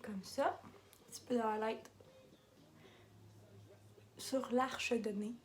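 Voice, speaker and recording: A young woman with a Québécois accent chats through a makeup tutorial in a slightly high voice, wandering into side comments and dropping English product words. A faint background noise sits under the recording. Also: fairly even intonation, slow. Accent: Québécois French. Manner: chatty, rambling asides with English makeup terms. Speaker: makeup vlogger.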